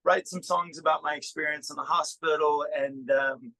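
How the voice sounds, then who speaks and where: A man being interviewed as a musician, chatting casually in a halting way as he thinks aloud. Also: on the high side, fairly even intonation, sharp tone, no echo, poor audio. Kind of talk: casual interview chat about own work.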